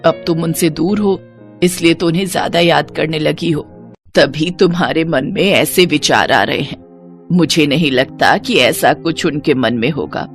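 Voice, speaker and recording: Measured older mother-in-law, played by a woman. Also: deep voice, soft background music. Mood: reassuring. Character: older mother-in-law, caring, reasonable, mildly suspicious. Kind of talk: Hindi cartoon family drama acting.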